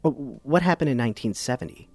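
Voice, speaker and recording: An actor playing an earnest, somewhat puzzled public radio host, narrating and interviewing in an audio comedy. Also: male voice, mid-range voice, animated, fast talker. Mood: puzzled, curious.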